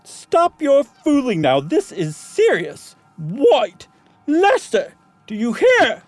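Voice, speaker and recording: A man playing an excitable, frightened man. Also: very high-pitched, slow, dry recording, faint music behind, pitched above the performer's own voice, heavily acted. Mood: angry, panicked. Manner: trembling, melodramatic. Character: excitable, frightened man bluffing bravery in haunted house.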